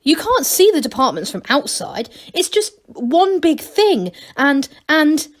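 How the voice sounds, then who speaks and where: Storybook young male gnome female reader performing: boastful, wide-eyed, breathlessly keen, on the high side. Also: very animated, measured pace. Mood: excited. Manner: breathless, babbling enthusiasm.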